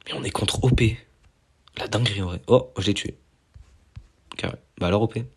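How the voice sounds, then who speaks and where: A young man with a cold gives fast, slangy commentary over a video game, quick to react and to laugh at his own mistakes. His voice sits a little low and sounds husky and stuffed up. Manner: excitable, self-mocking, slangy. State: has a cold, nasal voice. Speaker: gaming YouTuber. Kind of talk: casual gameplay commentary.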